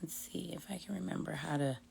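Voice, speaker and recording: A hoarse woman hosting a live video chat, welcoming and effusive. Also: lowish voice, fairly quick, background noise. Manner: warm, effusive. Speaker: host. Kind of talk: casual live stream chat. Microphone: video call.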